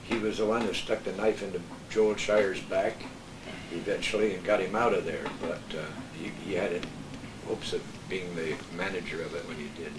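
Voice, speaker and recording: An older man telling anecdotes casually and haltingly, often searching for his words, as a panel guest. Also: low-pitched, fairly even intonation. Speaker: panel guest, veteran local broadcaster. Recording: echoey room, distant mic, clear noise.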